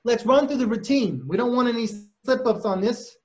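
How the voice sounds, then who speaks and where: A man's voice: very high-pitched, a little fast, a little mellow. A man playing a pushy, slangy vaudeville trouper in a stage play table read. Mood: brisk, instructing.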